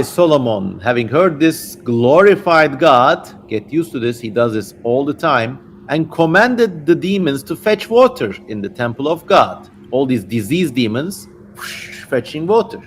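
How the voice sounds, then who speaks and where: A man with a mid-range voice hosts a livestream reading. He moves between theatrical emphasis and loose, slangy, irreverent commentary. There is clear noise behind his voice. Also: mid-range voice. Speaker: livestream host. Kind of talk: dramatic reading with casual tangents.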